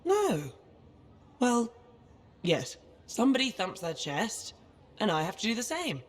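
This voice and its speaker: An actress playing a brash, scrappy troublemaker in a video game, cocky yet sheepish, with a punchy delivery. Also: female voice, a little high, sing-song, unhurried. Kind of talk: video game cutscene acting.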